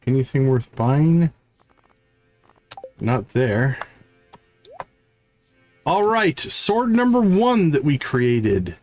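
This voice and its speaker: Lively-pitched man musing through game commentary. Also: soft background music. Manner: deliberate, musing, reading item stats aloud. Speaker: game livestream host. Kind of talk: casual gameplay commentary, thinking aloud.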